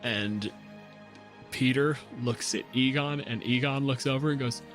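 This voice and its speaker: A man's voice: mid-range voice, measured pace, music in the background. A man narrating a tabletop game as game master in a casual, profane way.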